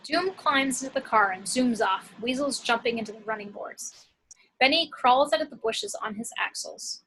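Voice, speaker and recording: A woman's voice: high-pitched, lively intonation, moderate pace, a bit bright, slight background noise, low-quality recording. A woman narrating action descriptions from a script at an amateur table read.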